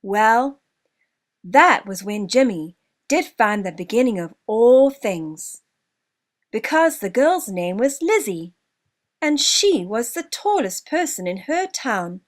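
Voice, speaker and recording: High-pitched, slow, animated woman storyteller. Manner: expressive storyteller delivery with deliberate emphasis. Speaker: author narrating own children's story. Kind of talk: scripted children's story narration.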